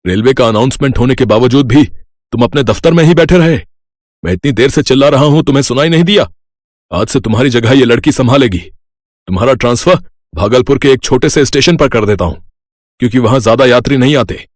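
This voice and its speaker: A man's voice: medium pitch, brisk, dry recording, clean studio sound, lightly acted. A man playing a stern, middle-aged senior railway official in a narrated story, speaking with authority. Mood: scolding. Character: stern older senior railway official, grateful then scolding. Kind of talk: acted dialogue in a narrated story.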